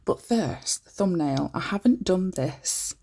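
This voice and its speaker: Lady w a croaky groggy voice, kinda low and husky, chatty nail tutorial. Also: animated, takes it slowly, mellow. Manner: chatty and informal, breaks off often to correct herself. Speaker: nail art vlogger.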